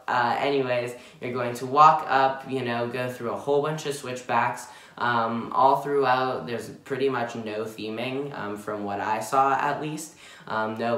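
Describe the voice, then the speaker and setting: A man's voice: fairly low pitch, a bit bright. Rambling young man with roomy, distant sound. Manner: rambling, many ums and self-corrections. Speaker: vlogger. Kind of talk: casual ride review. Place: bedroom, indoors. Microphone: camera from a few feet away.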